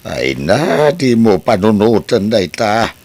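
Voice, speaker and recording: A man's voice: on the low side, sing-song, takes it slowly, a little mellow, exaggerated voice. A man playing a caring cousin and a remorseful grown son in an amateur audio drama. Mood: friendly.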